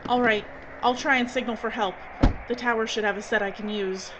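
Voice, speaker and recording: A woman's voice: on the high side, steady tone, fairly quick, natural voice. A woman playing an urgent, practical adventurer in an audio drama, giving terse orders. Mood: brisk. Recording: muffled lo-fi audio, noisy background.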